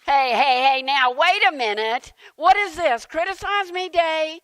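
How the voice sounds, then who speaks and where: A woman's voice: sits high, measured pace, fairly bright tone, dry recording. A woman playing a flustered, defensive churchgoer at prayer, exclaiming indignantly in a comic dramatic reading.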